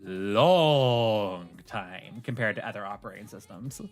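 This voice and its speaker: Low-voiced, animated woman streamer. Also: unhurried, soft background music. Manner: opinionated, blunt, animated storytelling with swearing. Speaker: streamer, host. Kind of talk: casual livestream chat.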